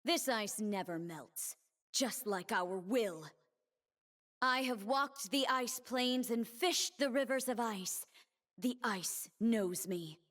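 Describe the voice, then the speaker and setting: An actress playing a stern, proud female warrior in a fantasy video game, with dramatic, measured delivery and dry quips. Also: fairly high pitch, fairly bright tone. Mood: cold, dry. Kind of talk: video game character voice lines.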